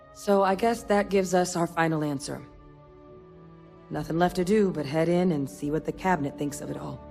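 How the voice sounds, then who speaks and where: A woman's voice: lowish voice, darkish tone, close to an everyday voice. An actress playing a resolute, businesslike female scientist in a video game, speaking in a direct, matter-of-fact way. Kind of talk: video game character dialogue.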